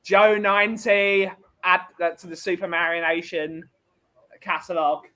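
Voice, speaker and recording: A fairly high-voiced man chats casually as a co-host. He is enthusiastic and emphatic, landing on his favourite words as he banters. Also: level tone, measured pace, sharp tone. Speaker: podcast co-host.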